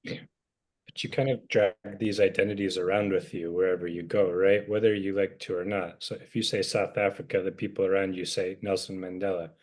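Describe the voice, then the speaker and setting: A deep-voiced American man moderates a casual group discussion. He asks probing questions in a loose, conversational way, circling back as he thinks aloud. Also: little pitch movement, brisk, a little mellow. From United States.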